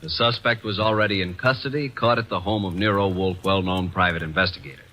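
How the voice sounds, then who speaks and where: A man's voice: deep voice, fairly even intonation, quick pace, bright, forceful tone, rough recording. An actor playing a wisecracking male detective's assistant in old-time radio acting, with wry, hard-boiled narration and quips.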